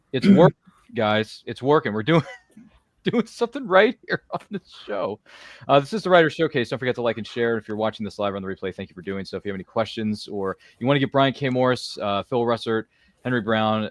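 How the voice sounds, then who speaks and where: Fast-talking energetic male podcast host. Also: lowish voice, no echo, studio quality. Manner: effusive, energetic, stammering repeats, slangy. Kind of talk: casual livestream roundtable chat.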